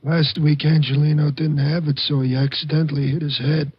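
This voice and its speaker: An actor playing a menacing, slow-witted protection-racket thug in an old radio drama, with blunt, taunting tough-guy talk. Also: a little high, flat delivery, breathy edge. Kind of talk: old-time radio crime drama acting. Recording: dull lo-fi vintage radio recording.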